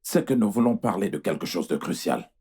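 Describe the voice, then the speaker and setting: A man's voice: deep voice, expressive, a little fast, a little mellow, husky. A man playing an apologetic fertility doctor, formal, hesitant and placating, in dubbed film dialogue. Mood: grave, hesitant.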